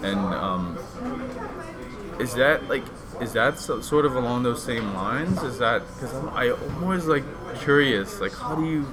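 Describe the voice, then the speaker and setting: A man's voice: mid-range voice, lively intonation, unhurried, crowd noise. A man hosting an interview, asking rambling, curious questions that he restarts often. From immigrant. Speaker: podcast host, painter. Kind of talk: casual interview.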